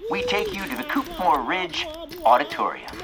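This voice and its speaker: An actor playing a showy, arch radio-hour host, narrating theatrically. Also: male voice, high-pitched, huge pitch swings, moderate pace, crowd noise.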